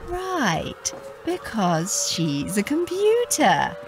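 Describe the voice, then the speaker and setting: High, gushing, snobbish society lady. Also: wildly swooping pitch, drawn-out words, music in the background, full character voice. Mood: naive, certain. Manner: gushing, genteel, theatrical. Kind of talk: comic sci-fi audio drama acting.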